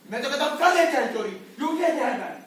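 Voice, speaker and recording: A man's voice: very high-pitched, expressive, quick pace, a bit bright. A man playing a desperate, bitter circus clown and father on stage, declaiming and firing off rhetorical questions. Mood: bitter, pleading. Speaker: stage actor. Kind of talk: amateur Hindi stage play acting. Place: indoor stage, recorded from the audience area. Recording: distant, very echoey hall, faint noise.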